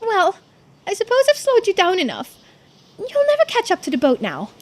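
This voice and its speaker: Woman performing young girl, video game character, high voice, animated, bold, defiant, guarded. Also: normal speed, heavily acted. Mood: triumphant.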